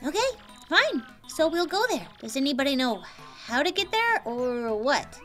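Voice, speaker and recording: A woman's voice: high voice, sing-song, normal speed, a bit bright, faint music behind. A woman voicing a perky, sassy young female Goomba student sidekick with a casual, pert manner, in a game fan dub. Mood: impatient.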